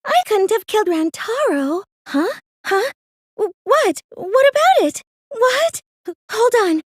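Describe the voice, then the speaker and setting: A very high, girlish anime game voice for a plain, earnest teenage girl, recorded close and dry. She comes across as meek and innocent, with a schemer hidden underneath. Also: very animated, slow pace, dry recording, full character voice. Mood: defensive, flustered. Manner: hesitant and stammering when pressed, earnest, girlish. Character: meek, plain teenage girl cosplayer, secretly a gleeful mastermind. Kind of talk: anime video game dub acting.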